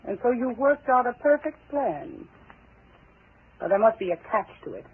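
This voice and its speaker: An actress playing a cold, nervy, scheming wife with a biting tongue in a 1940s radio thriller. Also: female voice, fairly high pitch, big pitch swings, moderate pace, husky, exaggerated voice. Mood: cool, sardonic. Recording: tinny, muffled 1940s radio recording.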